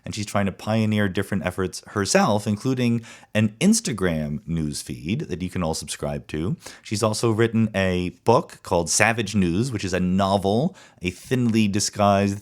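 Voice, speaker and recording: A man's voice: low-pitched, normal speed, hardly any pauses. A man hosting a podcast, asking questions in a curious, informal, conversational way. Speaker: podcast host and interviewer. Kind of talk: casual conversational interview.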